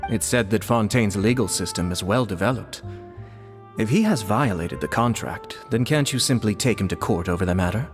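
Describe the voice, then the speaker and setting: A man's voice: lowish voice, normal speed, lightly acted. An actor playing a composed, polite young nobleman diplomat speaking formally in game dialogue. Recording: reverberant with music behind.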